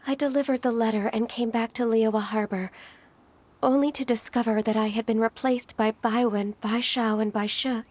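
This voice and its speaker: A woman playing a gentle, reserved half-immortal secretary in a video game, formal, polite and wistful. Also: on the high side, steady tone, unhurried. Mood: resigned, wistful. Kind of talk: scripted video game dialogue.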